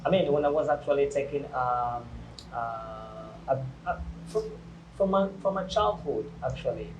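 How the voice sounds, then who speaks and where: A man's voice: medium pitch, moderate pace, highs cut off. A young man in his twenties, a native Portuguese speaker, answering interview questions in an earnest, hesitant way with frequent restarts. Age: 20s. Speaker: guest. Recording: echoey room, faint noise.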